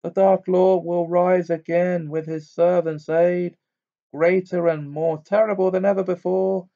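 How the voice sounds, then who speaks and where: A man's voice: little pitch movement, unhurried, warm tone. A man putting on a fluttery eccentric old fortune-teller woman. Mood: harsh trance. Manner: breathy, fussing, high and fluttering. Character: dreamy eccentric older female divination teacher. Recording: dull lo-fi recording.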